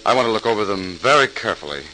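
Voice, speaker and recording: Lively, bright-voiced earnest young surgeon. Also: male voice, lowish voice, racing, low-quality recording. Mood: thoughtful. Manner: polite, thoughtful. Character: earnest, inquisitive thirty-year-old surgeon. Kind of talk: old-time radio acting. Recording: old radio recording, faint hiss.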